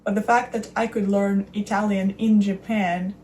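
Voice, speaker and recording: A young woman, thinking aloud in a reflective solo talk and often pausing to search for her words. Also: medium pitch, fairly even intonation, warm tone, a little hiss or hum, low-quality recording. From Russia. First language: Russian. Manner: thoughtful, searching for words, many fillers. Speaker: podcast host.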